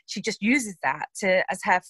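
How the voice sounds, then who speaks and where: Animated woman, dry close sound. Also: mid-range voice, normal speed, breathy edge, dry recording. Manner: animated, acts out quoted lines, laughs. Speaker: actress interviewed about her characters. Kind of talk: casual interview chat.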